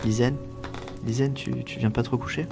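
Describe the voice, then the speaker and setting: An actor playing a laconic young fiancé in an audio drama, with a terse, offhand way of talking. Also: male voice, mid-range voice, fairly even intonation, moderate pace, gravelly, loud music behind the voice, natural voice. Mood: drowsy.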